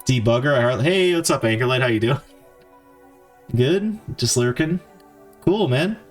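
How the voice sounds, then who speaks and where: A man chatting casually on a live stream while he codes, thinking aloud in a halting, informal, self-deprecating way. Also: mid-range voice, animated, faint music behind. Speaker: game developer streamer.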